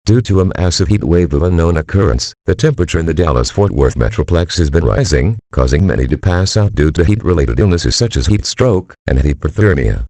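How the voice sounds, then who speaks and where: A man's voice: sits low, animated, brisk, hardly any pauses, a little mellow, no room sound. A male voice playing an emergency alert system announcer, reading a scripted warning in a formal, mechanical, solemn manner. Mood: impersonal, solemn.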